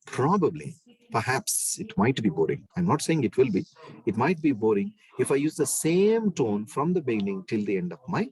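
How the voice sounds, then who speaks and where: A man with a deep bass voice, lecturing an online class in an emphatic way and repeating key phrases. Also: a little mellow, poor audio.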